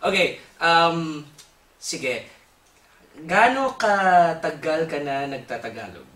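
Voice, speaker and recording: A man's voice: on the high side, very animated, takes it slowly, a bit bright. A man with a Filipino accent hosting a casual talk show interview, chatty and hesitant, with many uhs and some Tagalog. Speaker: host. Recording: roomy echo, mic at a distance, faint background hiss.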